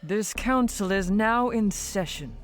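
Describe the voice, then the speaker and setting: A woman's voice: medium pitch, animated, slow, heavy background noise. A woman playing a stern, ancient vampire elder in an audio drama, formal and commanding.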